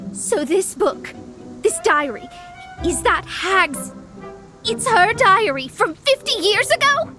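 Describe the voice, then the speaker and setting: Game role: haughty, theatrical young female astrologer, high-pitched and animated, easily rattled. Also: heavily acted. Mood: dismayed. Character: proud, dramatic, flustered young female astrologer. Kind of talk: video game voice acting.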